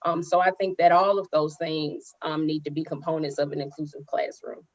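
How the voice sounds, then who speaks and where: Low-voiced woman on a muffled call. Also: fairly even intonation. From Alabama. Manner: earnest, measured, frequent um and you know. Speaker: high school English teacher, panelist. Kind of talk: panel discussion.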